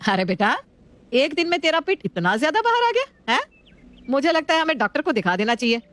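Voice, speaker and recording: A woman's voice: high-pitched, very animated, brisk, a bit bright, voice pushed up. A woman voicing a doting, emotional middle-aged mother in a family story, fussing and emphatic.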